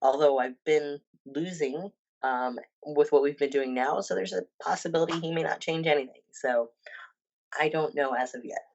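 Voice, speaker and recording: A woman's voice: sits low, normal speed, a little mellow. Fifty-something woman, muffled lo-fi audio. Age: 50s. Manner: rambling, many ums and restarts. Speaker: guest, personal trainer and bodybuilder. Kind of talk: casual podcast interview.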